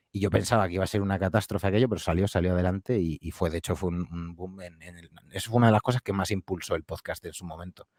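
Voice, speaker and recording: A man, a podcast guest, telling anecdotes in an animated, joking way. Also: lowish voice, dry recording, studio quality. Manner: animated storyteller, jokey asides. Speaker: podcast guest, horror audio fiction creator. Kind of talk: casual interview chat.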